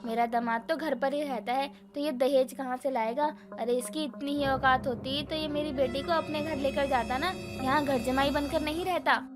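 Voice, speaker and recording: A woman playing a sharp, grumbling middle-aged mother-in-law in a Hindi cartoon story, with a nagging, pointed way of talking. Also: sits high, steady tone, normal speed, hardly any pauses, music in the background, lightly acted. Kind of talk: animated moral story acting.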